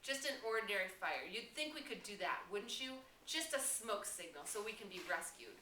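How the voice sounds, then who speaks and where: A woman's voice: medium pitch, animated, normal speed, bright, forceful tone. Woman reading as Ralph, an impassioned boy leader, echoey room. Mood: frustrated. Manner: impassioned, emphatic. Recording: roomy, distant classroom mic with noise.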